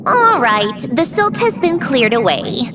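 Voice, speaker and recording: A woman playing a self-assured young female mechanic in a video game, brisk and wry with a hidden tenderness. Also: high-pitched, sing-song, noisy background, full character voice. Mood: brisk, commanding. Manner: brisk, instructing, wry, reflective. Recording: music behind the voice.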